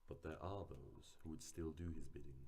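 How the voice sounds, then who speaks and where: Male actor for secretive monk-like brother: bottomless low pitch, grave, evasive, measured radio-play delivery. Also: gravelly, darkish tone, slight background noise. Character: grave, guarded hooded brother of a secretive order. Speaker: actor in student radio drama. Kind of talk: dramatic radio drama acting.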